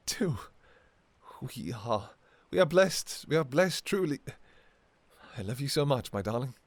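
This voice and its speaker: A man playing an anguished, devoted vampire king in a fantasy romance audio roleplay, speaking in halting, pleading, formal old-fashioned phrases. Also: mid-range voice, big pitch swings, no room sound, heavily acted. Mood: overwhelmed, joyful. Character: anguished, devoted vampire king, obsessive lover and new father.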